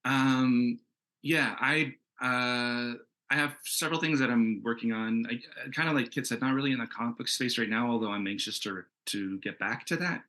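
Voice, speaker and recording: A man answering casually as an interview guest, halting as he searches for his words. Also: medium pitch, steady tone, bright, forceful tone. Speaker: comics creator and screenwriter, interview guest. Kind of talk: casual Q&A chat.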